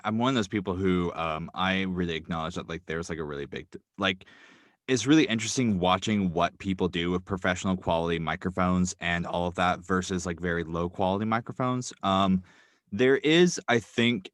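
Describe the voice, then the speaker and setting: A man's voice: low voice, a bit bright, no room sound. A man guest on a podcast who talks casually and hesitantly, full of filler words. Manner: hesitant, many ums and likes. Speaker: podcast guest, audio drama writer.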